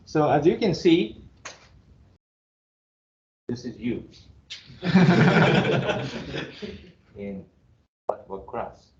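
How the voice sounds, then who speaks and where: A man with a Japanese accent talks to his students in a loose, chatty way, joking and pausing on fillers as he goes. The classroom rings with echo, the sound is a little dull, and a faint hiss sits under it. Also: medium pitch, big pitch swings, warm tone. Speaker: university professor. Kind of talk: casual first-day class talk.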